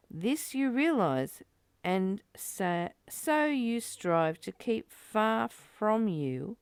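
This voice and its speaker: A woman giving a spiritual reading and reflection as a teacher, in a deliberate, measured way with emphatic pauses. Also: medium pitch, a little mellow, smooth, dry recording. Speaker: study group teacher reading and commenting.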